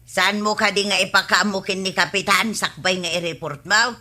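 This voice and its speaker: An actress playing a skeptical, inquisitive villager in an Ilocano radio comedy, husky and challenging with her questions. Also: medium pitch, steady tone, moderate pace, bright, forceful tone, lightly acted. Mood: incredulous.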